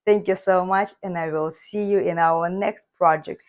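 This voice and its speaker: A woman hosting a cooking video from her home kitchen, her voice hoarse, chatting viewers through each step. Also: on the low side, steady tone, normal speed. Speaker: cooking channel host. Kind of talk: casual cooking demonstration.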